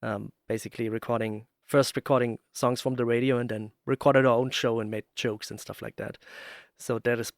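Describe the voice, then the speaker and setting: A man's voice: mid-range voice, level tone, brisk, gravelly, dry recording, studio quality. A man hosting a podcast, chatting casually and searching for his words as he tells stories. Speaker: podcast host. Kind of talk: casual interview chat.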